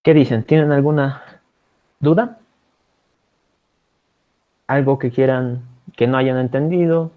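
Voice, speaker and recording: A man's voice: medium pitch, fairly even intonation, slow pace, long pauses. Man explaining code step by step. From Bolivia. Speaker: teaching assistant. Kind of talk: online programming class. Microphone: video call. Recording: slightly dull video-call audio.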